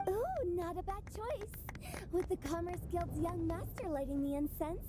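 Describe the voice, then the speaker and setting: A woman's voice: very high-pitched, measured pace, background noise. A woman playing a playful, spirited teenage girl who hosts with breezy, theatrical ceremony in a video game. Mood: playfully insistent. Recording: loud music behind the voice. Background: music.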